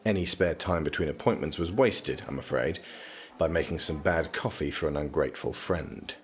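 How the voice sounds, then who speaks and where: An actor playing a reserved, exasperated young prince and student in an audio drama, speaking formally and drily. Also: male voice, rumbling low, normal speed. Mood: dry, stern. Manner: formal, clipped, deadpan.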